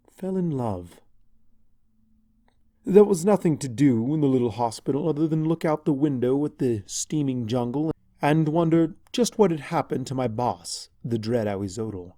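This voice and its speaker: A man narrating a story in the first person as a lovestruck villain's henchman, with dramatic, deliberate delivery. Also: mid-range voice, warm tone, no room sound, studio quality, close to an everyday voice. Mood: wry, wistful. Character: lovestruck villain's pony henchman recounting his past.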